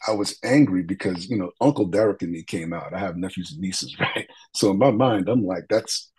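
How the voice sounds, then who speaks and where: Deep husky man telling a story. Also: fairly quick, a little mellow. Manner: earnest, reflective, with fillers and restarts. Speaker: guest. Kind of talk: interview storytelling.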